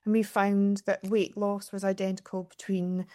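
A woman's voice: medium pitch, flat delivery, normal speed, warm tone, no room sound. A woman scientist explaining research as an expert guest in an interview.

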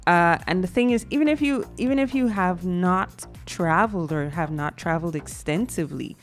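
Guyanese lady hosting morning tv, lowish voice, laughs a lot, faint music behind. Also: normal speed. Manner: bubbly, laughs often, reads viewer comments. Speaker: morning show co-host. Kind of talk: live talk show chat. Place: TV studio.

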